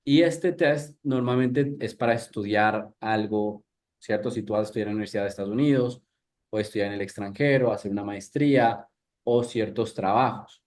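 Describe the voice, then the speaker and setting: A man's voice: on the low side, moderate pace, darkish tone. A man in his thirties from Ecuador, an English teacher giving a live workshop in a conversational way, with frequent restarts and questions to the audience. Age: 30s.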